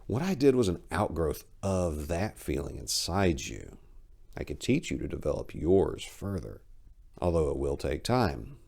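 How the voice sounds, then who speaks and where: A man voicing an ancient freed angel, serene, knowing and courteous, in a calm, formal storybook reading. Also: low-pitched, a little slow, gravelly, exaggerated voice. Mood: calm, instructive.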